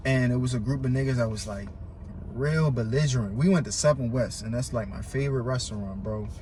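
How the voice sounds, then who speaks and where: A man's voice: mid-range voice, fairly quick, mellow, a little hiss or hum. An American man who stutters, chatting casually and emphatically as a podcast guest, with heavy slang and swearing. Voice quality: stutter, word and syllable repetitions. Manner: emphatic, repeats himself for emphasis.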